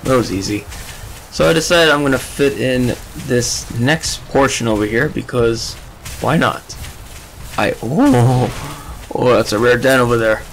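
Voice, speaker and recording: A man's voice: medium pitch, unhurried, slight background noise, poor audio. A man hosting a let's play, giving casual gameplay commentary and reading game text aloud.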